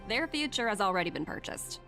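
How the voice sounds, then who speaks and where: Actress as young businesswoman, game character, medium pitch, poised, persuasive, self-assured, faint music. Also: lively intonation, fairly quick, close to an everyday voice. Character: confident, smooth-talking young female corporate emissary. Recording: light music behind the voice.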